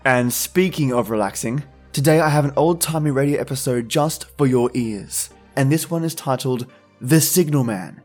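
A man's voice: medium pitch, normal speed, a little mellow, soft background music. A man hosting a podcast, giving a scripted introduction to an episode. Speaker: podcast host.

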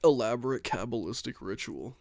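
A man co-hosting a podcast in casual chat, mumbling in a profane, deadpan way and often hedging with filler phrases. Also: mid-range voice, fairly quick, no echo. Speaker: podcast co-host.

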